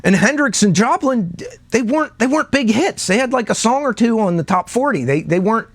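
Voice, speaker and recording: A man's voice: very high voice, expressive, a little fast, no echo. A man hosting a casual music commentary video, speaking in a conversational, emphatic and opinionated way. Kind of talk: casual opinion talk to camera.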